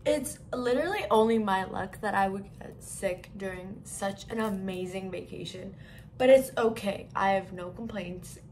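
A young woman with a hoarse voice from being sick, chatting to her phone camera in a hotel room in a bubbly, gushing way. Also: medium pitch, normal speed, darkish tone, a little hiss or hum. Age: young adult. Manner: bubbly, gushing, talks to viewers as guys. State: hoarse, lost voice from illness. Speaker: travel vlogger. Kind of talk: casual vlog chat to camera. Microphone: handheld phone camera at arm's length.

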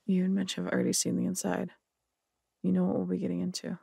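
A serious female police detective played by an actress in an audio drama. Her voice is heavy with vocal fry and husky, delivered in a businesslike way. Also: lowish voice, monotone, fast talker, warm tone, no echo, clean studio sound, full character voice.

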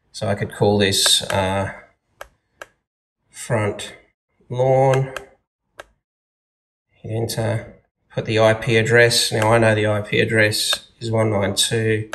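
Aussie guy doing a casual how-to, deepish voice, bit of background noise, step by step and no fuss. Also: creaky, a bit bright. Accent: Australian. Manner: plain, practical, informal. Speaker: security installer giving a tutorial. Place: indoors at a wall-mounted monitor.

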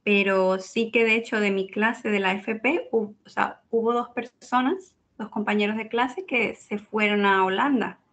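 Young woman, raspy gravelly voice, chatty guest, laughs a lot. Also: mid-range voice, a little slow, few pauses. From Venezuela (Caracas). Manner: chatty, lively, laughs easily. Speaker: interview guest. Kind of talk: casual interview chat.

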